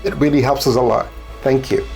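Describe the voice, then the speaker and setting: A man's voice: mid-range voice, steady tone, fairly quick, vocal fry, darkish tone, soft background music. A man from Egypt in his thirties, giving an earnest motivational talk to camera from a home studio. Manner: earnest, coaching, self-mocking asides. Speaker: solo business creator.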